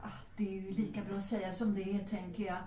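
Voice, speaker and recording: An actress playing a proud, unsentimental middle-aged widow of good family in a period audio drama, speaking formally. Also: female voice, medium pitch, flat delivery, mellow, a little hiss or hum, close to an everyday voice. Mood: matter-of-fact. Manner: formal, dignified, matter-of-fact. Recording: roomy, distant microphone.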